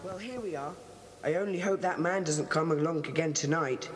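A woman's voice: low-pitched, moderate pace, over loud music, close to an everyday voice. A woman with a British accent playing a confident, bossy schoolboy club leader in a children's audio drama. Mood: wary, urgent.

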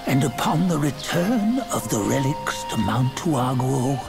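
An actor playing a weary, reverent old storyteller in a video game, solemnly telling an ancient legend with dramatic pauses. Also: male voice, medium pitch, very animated, creaky. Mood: hushed, hopeful. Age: older. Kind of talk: solemn scripted game narration. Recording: music behind the voice.

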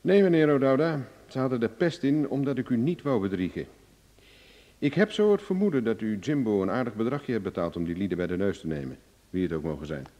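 An actor playing a cocky, cool-headed private investigator in a Dutch radio drama, glib, ironic and self-assured. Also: male voice, mid-range voice, expressive, a little fast, warm tone, natural voice. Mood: confident. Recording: reverberant old radio play recording.

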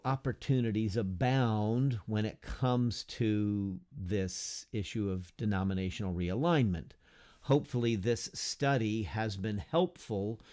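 Older guy pastor teaching on webcam, lower voice, talks casual and earnest, lots of "right?". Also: a little mellow. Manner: earnest, conversational, restarts with frequent "right?" and gestures. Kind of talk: unscripted teaching talk to camera. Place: indoor study with bookshelves and whiteboard. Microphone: webcam at desk distance.

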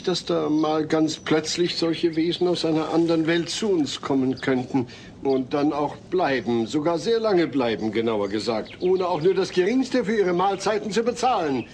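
A man's voice: on the high side, a little mellow. An actor playing a hesitant, fussy, anxious suburban family man in a German sitcom audio drama. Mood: nervous. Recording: faint noise, old cassette audio drama.

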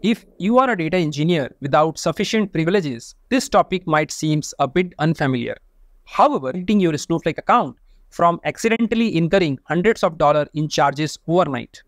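A man teaching a screen-share software tutorial, explaining each step in a methodical way. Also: fairly high pitch, lively intonation, a little fast, dry recording, clean studio sound. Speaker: data engineering instructor.

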